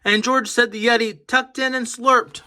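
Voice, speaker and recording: A man reading a children's picture book aloud in an expressive, emphatic storytelling manner. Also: very high voice, normal speed. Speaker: storybook reader on a children's channel.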